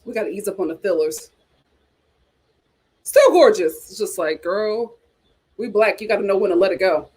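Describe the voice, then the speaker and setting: A woman's voice: mid-range voice, lively intonation, normal speed, pauses often, darkish tone, husky. A woman hosting a casual reality TV reaction chat, talking back at the screen. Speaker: reality TV commentary host.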